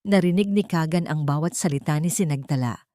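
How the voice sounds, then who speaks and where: A woman's voice: deep voice, fairly quick, mellow, no room sound, studio quality. A woman narrating a scripted dramatic story as a storyteller.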